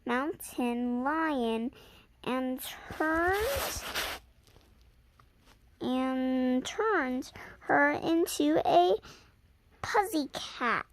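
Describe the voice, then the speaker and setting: Slow, halting high-voiced little girl reading aloud. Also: animated, a little hiss or hum. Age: child around seven or eight. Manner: halting, sounding out and repeating words. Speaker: host reading a story aloud to viewers. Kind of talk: halting read-aloud with casual asides to viewers.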